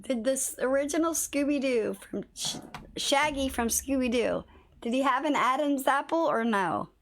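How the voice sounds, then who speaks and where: A woman's voice: high voice, lively intonation, moderate pace, a bit bright. A woman livestreaming a game, chatting casually with viewers and swearing a little; her voice is slightly worn, as if she is losing it. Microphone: phone.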